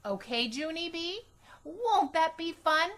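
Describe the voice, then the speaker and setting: A woman voicing a patient, gently firm mother in a children's storybook, with a measured, reassuring way of talking. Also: very high-pitched, lively intonation, pitched above the performer's own voice, exaggerated voice.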